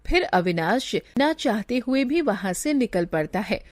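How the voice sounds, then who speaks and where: A woman's voice: fairly high pitch, animated, unhurried, dry recording, studio quality. A woman giving scripted narration of a story as its narrator.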